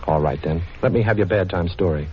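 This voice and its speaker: An actor playing a cocky, chivalrous amateur sleuth in a 1940s radio crime drama, breezy and reassuring. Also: male voice, low-pitched, quick pace, lightly acted. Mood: soothing, teasing. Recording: muffled, noisy 1940s radio recording.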